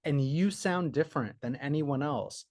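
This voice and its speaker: A man's voice: a little high, lively intonation, moderate pace, darkish tone, dry recording, clean studio sound. A man interviewing a musician over a video call, chatty and enthusiastic, often laughing. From United States. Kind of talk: casual interview chat.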